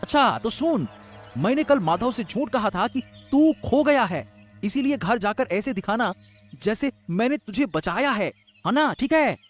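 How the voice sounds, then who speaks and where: A man voicing a high-pitched, scheming young trickster. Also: expressive, unhurried, soft background music, exaggerated voice. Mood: conspiratorial. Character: scheming young man, greedy elder brother who lies. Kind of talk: animated Hindi moral-story dialogue.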